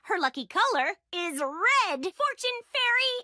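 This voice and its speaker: Bubbly young female anime duelist, perky and theatrical, very high and bright, for a card game. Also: very animated, measured pace, dry recording, clean studio sound, exaggerated voice. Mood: triumphant, excited.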